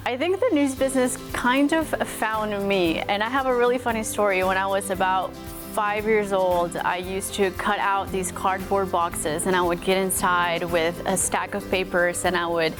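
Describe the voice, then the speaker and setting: A woman's voice: mid-range voice, fairly even intonation, moderate pace. Upbeat woman anchor over music. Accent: Texas. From Mexico. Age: 30s. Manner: upbeat, earnest, grateful. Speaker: morning news anchor. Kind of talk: personal interview talk. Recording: music playing underneath.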